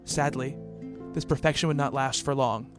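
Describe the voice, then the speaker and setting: A man playing an earnest, bookish doctor and devoted fiancé in a radio play, speaking in a measured, literary way. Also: mid-range voice, fairly even intonation, music in the background, natural voice. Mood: wistful narrating. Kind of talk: radio play narration and dialogue.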